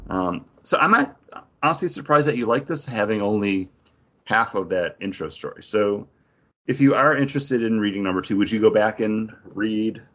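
A man's voice: fairly low pitch, lively intonation, moderate pace, a bit bright. A man co-hosting a podcast in a casual, conversational discussion. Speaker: comics podcast co-host. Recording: dull lo-fi audio.

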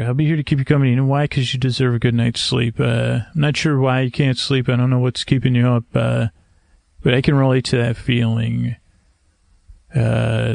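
Creaky-voiced man rambling softly toward sleep. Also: medium pitch, no room sound, studio quality. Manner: hesitant, meandering, measured, gently self-deprecating. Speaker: podcast host. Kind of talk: rambling, soothing bedtime monologue to help listeners sleep. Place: screened porch at night.

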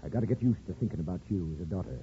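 An actor playing a gruff but soft-hearted middle-aged small-town father in a 1950s radio drama. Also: low voice, animated, very fast, slight background noise. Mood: gruff, tender. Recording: muffled, thin 1950s radio with music.

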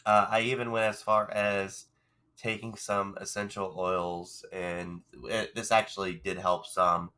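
Congested low-voiced man, rambling. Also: little pitch movement, unhurried, pushed and bright. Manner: rambling, self-deprecating, restarts often. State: congested and hoarse from a cold. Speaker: podcast co-host. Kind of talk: casual chat.

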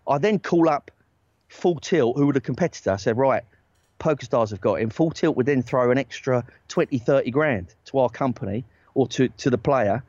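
A man, a talent agent and guest on a podcast, telling stories in a loose, animated way with lots of "right?" tag questions. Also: medium pitch, normal speed, no echo. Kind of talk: casual storytelling chat. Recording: dry, dull lo-fi sound.

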